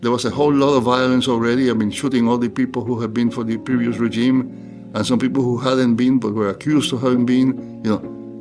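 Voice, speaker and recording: Older guy from cuba, interview guest, slow thoughtful talk with lots of restarts. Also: mid-range voice. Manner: measured, reflective, frequent halting restarts. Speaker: theologian guest.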